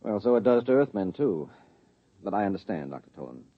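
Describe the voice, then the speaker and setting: A man's voice: fairly low pitch, big pitch swings, brisk. A man playing a curious, wry male government official in a 1950s radio drama, asking probing questions. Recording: thin tinny 1950s radio broadcast.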